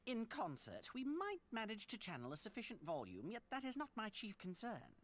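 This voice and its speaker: A woman's voice: on the high side, huge pitch swings, normal speed. A woman playing a cautious, learned mage in a fantasy video game, speaking precisely and formally. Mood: concerned.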